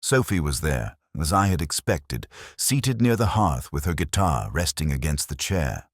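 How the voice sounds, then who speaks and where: Very deep, husky male storyteller. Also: sing-song, dry recording, studio quality. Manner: measured, literary, melancholy. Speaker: narrator. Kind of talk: first-person scripted detective story narration.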